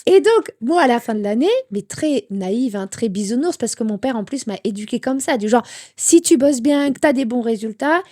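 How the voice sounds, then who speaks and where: Mellow woman telling her story. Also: fairly high pitch, normal speed. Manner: animated storytelling with quoted dialogue. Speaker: interview guest. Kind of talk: candid personal story.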